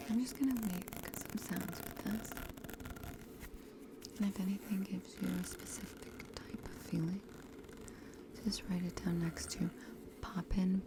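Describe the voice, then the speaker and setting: A woman whispering close to the microphone in an ASMR teacher role-play, gently repeating words and encouraging her class with patience. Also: medium pitch, creaky. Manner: slow, gentle repetition of trigger words. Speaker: ASMR host playing a teacher. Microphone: close sensitive stereo microphone.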